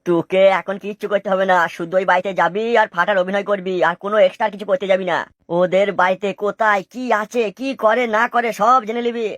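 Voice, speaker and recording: Comic cartoon schemer: female actor playing a pushy male mason, medium pitch, quick delivery. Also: no room sound, natural voice. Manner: crude, nagging, commanding. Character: scheming, bossy adult male mason crony.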